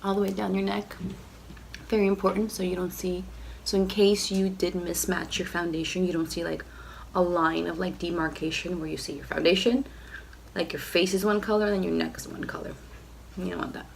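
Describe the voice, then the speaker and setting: A young woman with a cold, talking through a casual makeup tutorial at home in a nasal, rough, low voice, filling her sentences with "like" and "you know". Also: level tone, fairly quick, a little hiss or hum. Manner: chatty, lots of "like" and "you know". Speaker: beauty vlogger.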